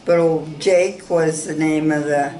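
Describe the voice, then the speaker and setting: Woman from South Dakota, unhurried, plain-spoken storyteller, roomy home recording. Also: deep voice, steady tone, warm tone, slight background noise. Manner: slow, plain-spoken, rambling recollection. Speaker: interviewee, interviewer's sister. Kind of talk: reminiscing in an interview. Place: room in a ranch home.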